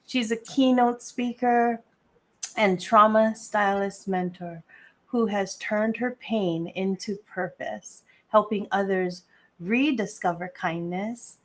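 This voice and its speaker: A woman from Hawaii hosting a live podcast interview, speaking in a measured, deliberate way. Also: mid-range voice, steady tone, a bit bright. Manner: measured, deliberate delivery with a scripted-sounding welcome. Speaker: podcast host.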